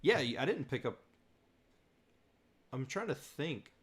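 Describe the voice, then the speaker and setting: A man's voice: medium pitch, big pitch swings, measured pace, a bit bright. A man from the southern United States hosting a casual livestream Q&A, chatty and rambling, laughing often. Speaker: host.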